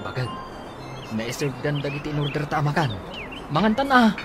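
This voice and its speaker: Guy doing a hungry impatient hubby, grumbly n animated, radio comedy, loud music behind. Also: a little high, brisk. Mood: casual, proud. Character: impatient, hungry husband, showing off to friend. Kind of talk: comedy radio drama acting.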